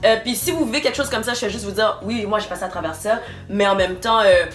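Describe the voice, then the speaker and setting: A woman's voice: mid-range voice, fast. A young woman with a Quebec French accent, chatting casually and laughing through a personal story. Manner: laughing, filler-heavy storytelling. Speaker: co-host. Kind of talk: casual chat. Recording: echoey room with faint music.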